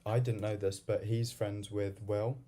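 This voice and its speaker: A young Welsh man, a university student, telling a story as a guest in relaxed British slang. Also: on the low side, unhurried, background noise. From Wales. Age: young adult. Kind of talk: casual chat.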